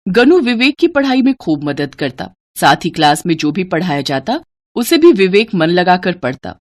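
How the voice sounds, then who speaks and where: Lively woman narrating a moral story. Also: fairly low pitch, moderate pace.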